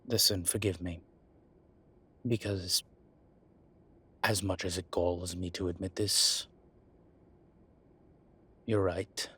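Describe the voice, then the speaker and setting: A man playing an ancient demon professor who is gruff but contrite and caring, speaking intimately to one listener in a halting, measured, husky voice. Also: fairly even intonation, bright, forceful tone, full character voice. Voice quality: husky, breathy low voice. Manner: slow, halting, measured delivery with sighs.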